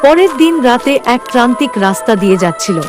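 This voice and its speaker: High-voiced woman narrating a story. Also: sing-song, fairly quick, music in the background. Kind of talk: scripted storytelling narration for cartoon horror tale.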